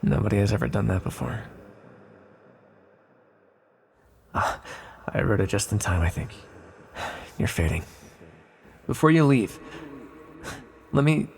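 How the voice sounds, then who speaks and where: A man playing an ancient sealed villain in an ASMR roleplay, speaking hesitantly and courteously in gentle, secretive persuasion with a gravelly voice. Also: fairly low pitch, big pitch swings, normal speed, long pauses, heavily acted. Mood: grateful, wistful.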